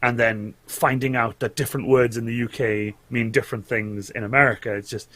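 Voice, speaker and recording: A man's voice: medium pitch, steady tone, brisk, a bit bright, no echo. Welsh man, chatty, dry close sound. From Wales. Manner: chatty, lots of restarts and fillers, laughs often. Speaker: guest cosplayer and streamer. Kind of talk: casual chat on a live show.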